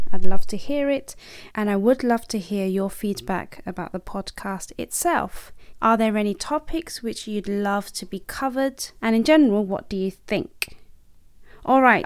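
A woman hosting a podcast, who speaks gently and encouragingly and laughs easily while she guides a reflection and an interview. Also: medium pitch, dry recording, clean studio sound. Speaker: podcast host.

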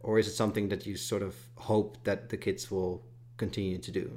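A man's voice: fairly low pitch, level tone, quick pace, darkish tone. A man hosting a casual podcast interview, asking long, rambling questions in a chatty way. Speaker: podcast host.

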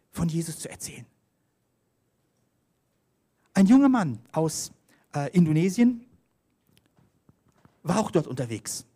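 A man's voice: high-pitched, dark tone. A man preaching a sermon, telling mission stories, reading scripture aloud and calling for the next slide. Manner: storytelling, reads scripture aloud, directs slides.

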